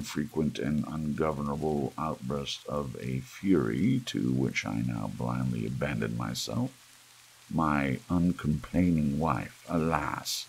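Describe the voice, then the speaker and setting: Very deep, gravelly man narrating dramatically. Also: rough recording. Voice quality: gravelly, husky. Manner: theatrical, brooding delivery with sudden emphatic swells. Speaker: audiobook reader. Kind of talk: dramatic ASMR horror story narration. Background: soft rain.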